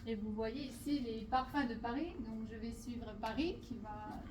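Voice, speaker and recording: Une femme artiste qui présente ses toiles dans une salle qui résonne. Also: female voice, fairly high pitch, normal speed, noisy background. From Portugal. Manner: hesitant, many fillers and restarts. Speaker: painter presenting her artworks. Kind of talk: guided exhibition walkthrough. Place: exhibition hall. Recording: roomy hall, speaker far from the mic.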